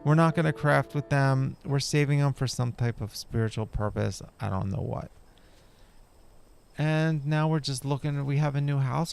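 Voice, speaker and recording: A man's voice: mid-range voice, vocal fry, darkish tone, clear, resonant tone. A man giving casual, rambling roleplay commentary over a video game. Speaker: gaming video creator. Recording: game music behind the voice. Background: video game music and character dialogue.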